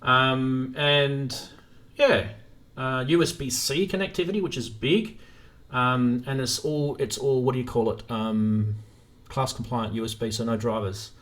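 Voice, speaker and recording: A man's voice: medium pitch, measured pace, a bit bright. A man hosting a live stream in a casual, informal chat, thinking aloud as he goes.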